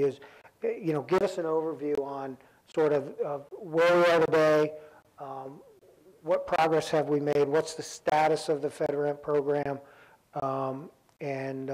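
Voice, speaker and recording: Male panel moderator, flat even delivery, lots of ums and restarts, conference room mic. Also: a little high, poor audio. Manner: halting, many ums and restarts.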